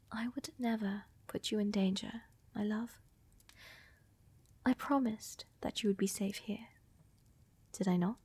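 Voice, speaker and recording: A woman playing a seductive, tender vampire countess in a soft, intimate roleplay ASMR style, often dropping to a whisper, with a teasing, assured manner and formal wording. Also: medium pitch, fairly even intonation, slow pace, long pauses, slightly rough, no echo. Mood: tender, reassuring. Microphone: close microphone, dry.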